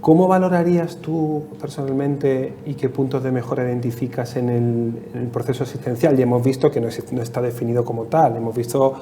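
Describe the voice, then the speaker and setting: Male moderator for an online panel, mellow voice, halting questions, faint background noise. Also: mid-range voice, steady tone. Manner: hesitant, fills with "eh". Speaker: interviewer, moderator.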